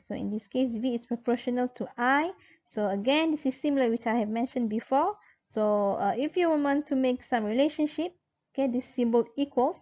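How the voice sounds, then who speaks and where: A woman lecturer with a Malaysian accent and a fairly high voice explains material informally, step by step. She speaks haltingly and circles back over key points. The recording is somewhat dull and lo-fi. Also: darkish tone. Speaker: university lecturer.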